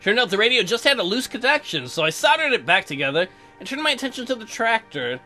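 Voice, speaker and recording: A man acts out a young colonist wife from a visual novel game. The voice is high and girlish, animated and chirpy, turning testy easily. Music plays underneath. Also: fast, full character voice. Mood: narrating, upbeat. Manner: high-pitched girlish put-on, narrating and bickering. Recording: music behind.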